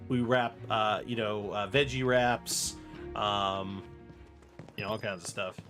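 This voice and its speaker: A man's voice: fairly low pitch, fairly even intonation, takes it slowly. A man giving casual, playful live commentary as he streams a video game, sometimes slipping into goofy chanting. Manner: playful, breaks into goofy rap-style chanting and sound syllables. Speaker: video game livestreamer narrating a speedrun. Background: game music and sound effects.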